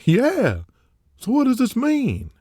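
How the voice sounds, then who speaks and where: A man's voice: very high voice, wildly swooping pitch, takes it slowly, darkish tone, slightly rough, no room sound, clean studio sound, voice pushed up, heavily acted. A man voicing an eager, folksy wrestling office underling in an audio drama, chipper and slangy. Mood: excited.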